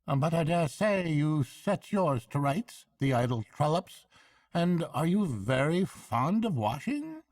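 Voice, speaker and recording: A man's voice: a little high, sing-song, measured pace, darkish tone, no echo, pitched above the performer's own voice. A man doing a hearty, chatty barge woman. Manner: hearty, chatty, falsely respectful. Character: hearty, shrewd stout barge woman, middle-aged. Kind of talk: storybook character voice.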